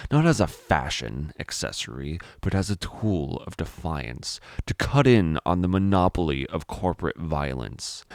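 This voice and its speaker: A man's voice: extremely low pitch, dry recording, studio quality. A man narrating a dramatic first-person story in a brooding, theatrical manner. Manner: brooding, theatrical delivery with rhetorical questions.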